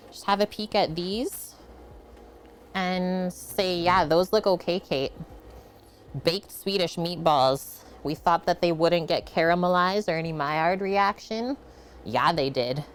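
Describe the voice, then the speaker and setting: A woman's voice: fairly low pitch, slight background noise. A woman hosting a casual home cooking livestream, chatting as she cooks and answering viewer comments. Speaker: cooking show host. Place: home kitchen.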